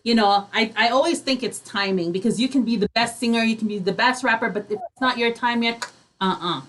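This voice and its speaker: A woman's voice: a little high, fairly quick, fairly bright tone. A woman hosting a casual radio interview, agreeable and encouraging as she talks. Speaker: radio host.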